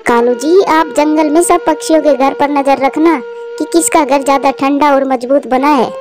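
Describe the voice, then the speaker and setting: High-voiced bossy crow wife Gori acted by a woman. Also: moderate pace, over music, lightly acted. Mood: scheming. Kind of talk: Hindi cartoon dialogue.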